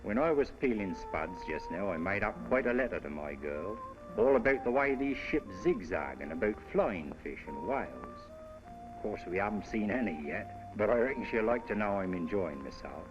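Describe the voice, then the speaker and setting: Casting: cheerful English Tommy, lowish voice, chummy slangy storytelling, muffled wartime soundtrack with loud music. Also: male voice. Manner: colloquial, chummy. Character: chatty, cheerful British army soldier, former greengrocer. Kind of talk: 1940s wartime film narration in character. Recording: dull vintage film soundtrack, loud music.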